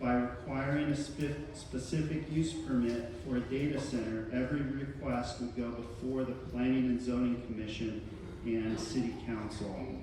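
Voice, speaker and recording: A man with a Texan accent, a meeting official reading an agenda item aloud in a formal, steady way. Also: mid-range voice, moderate pace. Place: large echoey council chamber. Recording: echoey hall from far off with noise.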